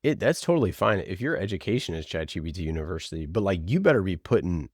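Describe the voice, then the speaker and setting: Deep-voiced man in a podcast interview. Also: very animated, fast talker, a little mellow, no room sound, studio quality. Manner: emphatic, repeats phrases for emphasis. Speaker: podcast producer and interview guest. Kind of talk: conversational interview answers.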